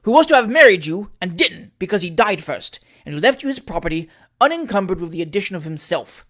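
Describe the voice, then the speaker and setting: A narrator voicing a pompous, pettish middle-aged male mayor in a loud, exclamatory storybook manner. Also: medium pitch, sing-song, a little fast. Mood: sardonic.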